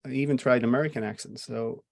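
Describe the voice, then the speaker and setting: A man's voice: mid-range voice, steady tone, quick pace, gravelly, a little mellow. Reflective Irish man explaining his craft. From Ireland. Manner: reflective, explains at length with restarts. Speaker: playwright guest. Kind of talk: conversational interview answers.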